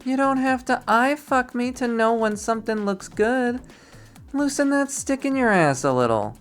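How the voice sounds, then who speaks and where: A reader doing a cocky young man with a loud, mocking swagger. He talks crudely and brags, his voice jumping high and swinging all over the place. He is voiced from a visual novel readthrough. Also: lively intonation, normal speed, faint music behind, lightly acted. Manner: teasing, crude and boastful. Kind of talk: visual novel read-aloud.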